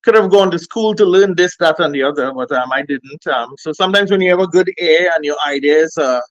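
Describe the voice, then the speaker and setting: A man's voice: a little high, fairly quick, dry recording, muffled recording. A middle-aged man with a Trinidadian accent chatting casually as an interview guest, in simple, repetitive, hesitant phrases. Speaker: interview guest, music producer and DJ. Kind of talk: casual chat, reminiscing. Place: remote call, on a phone.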